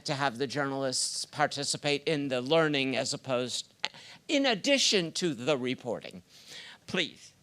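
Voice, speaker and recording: A man informally moderating a conference session, speaking in a halting way and repeating words. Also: fairly high pitch, bright, forceful tone, smooth. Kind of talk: informal meeting facilitation. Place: conference meeting room.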